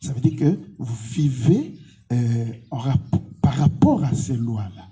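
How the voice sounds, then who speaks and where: Congolese male pastor, interviewed; very mellow, breathy-rough tone, declarative and insistent delivery. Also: medium pitch, sing-song, measured pace. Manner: emphatic, repetitive short declarations. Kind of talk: interview answers with preaching.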